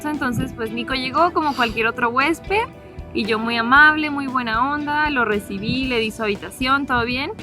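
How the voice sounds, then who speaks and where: Chatty young Mexican woman, higher voice. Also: normal speed. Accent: Mexican Spanish. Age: 20s. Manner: chatty, laughs easily, loose storytelling with fillers. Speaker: travel vlogger. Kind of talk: casual sit-down chat to camera. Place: seated indoors facing the camera. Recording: music bed under the voice.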